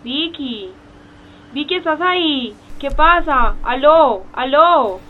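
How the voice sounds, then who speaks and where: An actress playing a chummy young friend on a phone call in a radio drama. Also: female voice, sits high, lively intonation, slow pace. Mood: chummy, concerned. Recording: muffled phone-call sound, faint noise.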